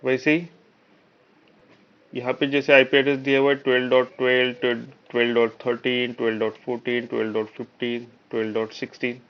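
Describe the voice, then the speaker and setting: A man's voice: medium pitch, flat delivery, a little fast, clean tone. A man with an Indian accent giving a live technical lecture as an instructor, explaining step by step with frequent check-in tags and mixing in English technical terms. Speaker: networking instructor. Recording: dull, lo-fi online class audio.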